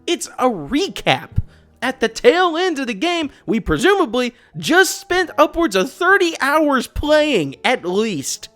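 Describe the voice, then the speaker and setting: Expressive video essayist, sarcastic game commentary, lively swooping pitch, exasperated delivery, faint music behind. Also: slow. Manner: sarcastic, exasperated, swearing rant. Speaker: video essayist, channel host.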